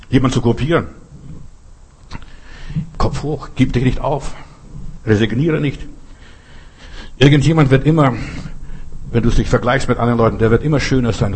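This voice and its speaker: A man's voice: medium pitch, a little mellow, slightly rough. A middle-aged man who is not a native German speaker, preaching earnestly with personal stories and repeating phrases for emphasis. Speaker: pastor.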